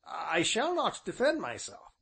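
A man playing a calm, stubborn, well-spoken young gentleman with a formal, firm manner. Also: sits high, unhurried, vocal fry, husky, pitched above the performer's own voice, heavily acted. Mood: defiant.

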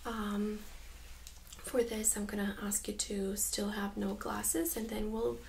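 A young woman speaking softly, mostly in a whisper, gently giving instructions in an ASMR medical role-play. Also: medium pitch, flat delivery, slow pace, vocal fry, a little hiss or hum. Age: young adult. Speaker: ASMR creator playing a medical examiner. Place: small indoor room set up as exam room.

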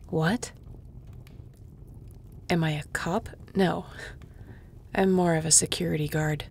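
A woman's voice: lowish voice, vocal fry, a little mellow, a little hiss or hum. A woman playing a grumpy, tough shapeshifter guard who cares begrudgingly, speaking curtly and with weary sarcasm in an intimate audio roleplay. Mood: exasperated, sarcastic. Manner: curt, sarcastic, dry, weary.

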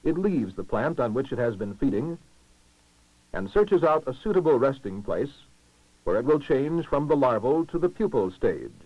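A man reading scripted narration for a 1960s educational documentary, formal and measured, with clear enunciation. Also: mid-range voice, very animated, no room sound. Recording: muffled lo-fi 1960s film soundtrack.

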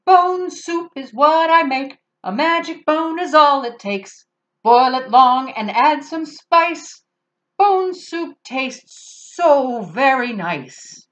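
A woman's voice: a little high, very animated, unhurried, lo-fi sound. Storybook stranger, male, sly and coaxing, lifted and showy, read by a woman. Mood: sing-song. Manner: coaxing, sing-song. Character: sly wandering male stranger, grinning cook.

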